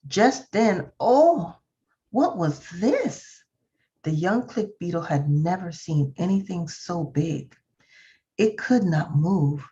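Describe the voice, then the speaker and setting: A woman reading a children's story aloud expressively, with drawn-out emphasis. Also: fairly low pitch, expressive, warm tone, a little hiss or hum. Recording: slightly dull, lo-fi sound.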